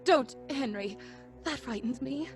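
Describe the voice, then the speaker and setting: A woman's voice: high-pitched, animated, measured pace, soft background music. An actress playing a frightened, gasping young woman at a séance in an old-fashioned radio horror drama. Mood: uneasy. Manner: gasping, anxious, pleading.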